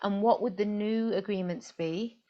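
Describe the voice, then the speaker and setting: A woman's voice: medium pitch, fairly even intonation, moderate pace, dull audio. A woman interview guest who is an interfaith minister, explaining in an earnest, emphatic way. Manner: earnest, emphatic, repeats for stress.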